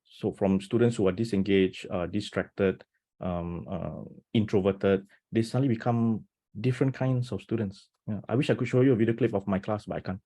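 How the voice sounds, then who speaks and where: Husky low-voiced man, animated teacher. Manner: animated, talks fast with many restarts and rhetorical "right?". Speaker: teacher presenting at an education webinar. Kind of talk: enthusiastic webinar talk. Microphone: video call.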